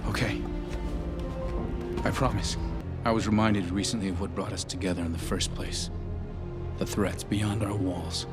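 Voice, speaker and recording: An actor playing an earnest, idealistic young inventor turned city councilor in an animated drama, intense and resolute. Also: male voice, on the low side, close to an everyday voice. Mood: wistful, then grave, negotiating. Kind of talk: dramatic animated series dialogue. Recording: dialogue over clear background music. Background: music.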